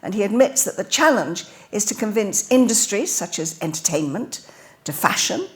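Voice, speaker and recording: A woman's voice: mid-range voice, lively intonation, moderate pace. Older woman introducing speakers at a podium. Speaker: event host introducing speakers. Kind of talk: speech introduction. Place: lecture hall podium. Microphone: podium mic.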